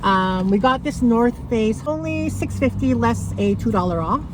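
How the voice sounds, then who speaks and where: A woman talking casually to camera about her thrift finds, rambling with lots of fillers and a loud voice. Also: a little high, lively intonation, moderate pace, background noise. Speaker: thrift haul vlogger.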